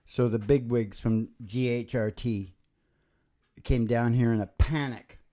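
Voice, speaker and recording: A man hosting a homemade radio show in casual, rambling banter, with halting, folksy asides. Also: medium pitch, fairly even intonation, warm tone. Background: acoustic guitar playing.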